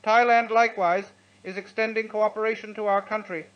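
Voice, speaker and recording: A dull, lo-fi recording carries a man's notably high voice. He delivers a scripted political address in a formal, declamatory way, as a wartime speech read aloud. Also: fairly even intonation, a little fast, clear, resonant tone. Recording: dull lo-fi sound.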